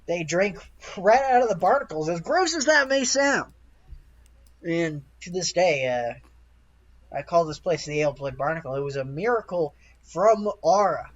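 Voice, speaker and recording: A man playing a proud rustic townsman in a tabletop game, telling family lore in a halting, folksy way. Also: on the high side, sing-song, highs cut off. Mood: earnest, reverent. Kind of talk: improvised tabletop roleplay.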